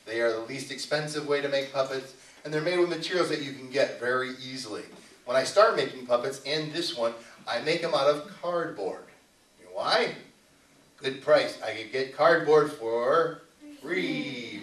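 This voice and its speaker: A man telling stories live to children as a puppeteer, with dramatic, drawn-out delivery. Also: medium pitch, a bit bright. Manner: dramatic pauses and drawn-out repetition, asks the audience questions. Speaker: puppeteer and storyteller. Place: arts center live show. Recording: roomy hall, distant mic, faint noise.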